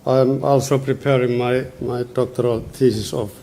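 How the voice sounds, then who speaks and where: Middle-aged male presenter, Finnish accent, mellow relaxed tone, measured formal delivery. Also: medium pitch. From Finland. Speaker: conference speaker, senior advisor.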